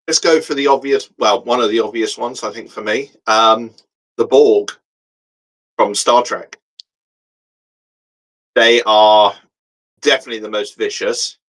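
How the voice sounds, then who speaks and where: A man co-hosting a casual chat, opinionated and emphatic in short measured phrases. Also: fairly low pitch, a bit bright, poor audio. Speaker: co-host discussing science fiction.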